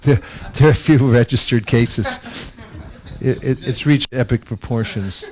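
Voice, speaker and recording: A man's voice: medium pitch, a little slow, darkish tone. A man leading a devotional class discussion, telling anecdotes with wry humor. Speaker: discussion leader. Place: gathering in India.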